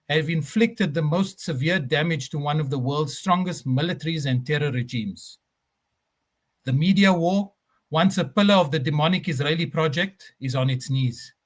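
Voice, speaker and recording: A man with a fairly high voice hosts a live stream. He delivers a rhetorical opening with repeated phrases, then talks more loosely with ums as he introduces his guests. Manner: fillers and uh, um in unscripted talk. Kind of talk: delivering a scripted opening speech, then hosting.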